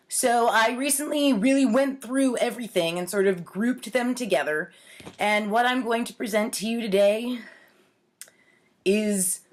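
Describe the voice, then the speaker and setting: Slow-paced, higher-voiced wry woman. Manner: wry, playfully mock-earnest. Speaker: host of a storytime series. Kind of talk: casual comic storytime vlog, commenting on own childhood writings. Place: home kitchen, indoors.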